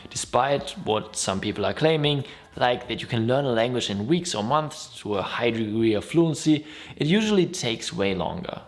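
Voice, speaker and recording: A man's voice: medium pitch, animated, normal speed. A man from Germany with a German accent, a native German speaker hosting a casual explainer vlog in a friendly, upbeat, conversational way. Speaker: YouTube host.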